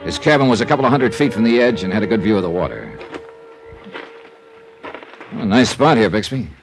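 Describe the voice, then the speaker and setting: A man's voice: fairly low pitch, sing-song, a little fast, music in the background, rough recording. An actor playing a hard-boiled male insurance investigator who also narrates, clipped and wry in 1950s radio drama style. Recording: vintage radio broadcast transfer.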